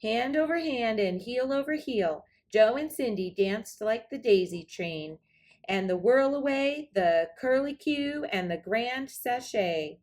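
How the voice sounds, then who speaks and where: Expressive woman reading a children's storybook. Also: fairly high pitch, slow. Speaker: storybook reader. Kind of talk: expressive children's read-aloud narration.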